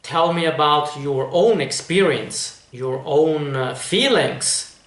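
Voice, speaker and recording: A man presenting a reaction review as an online host, with measured, formal wording addressed straight to viewers. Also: on the high side, lively intonation, a bit bright. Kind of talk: reaction review commentary to camera. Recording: echoey, reverberant room.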